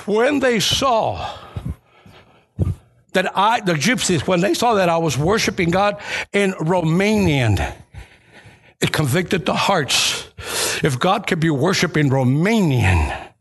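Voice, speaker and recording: Man, high voice, church preacher, personal testimony, conversational storyteller, emphatic repeated phrases. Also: very animated, slow pace, pauses often, a bit bright, husky.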